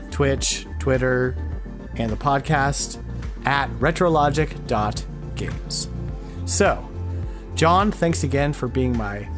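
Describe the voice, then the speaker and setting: Male podcast host, mid-to-high everyday pitch, informal and enthusiastic, slangy casual gaming chat, music underneath.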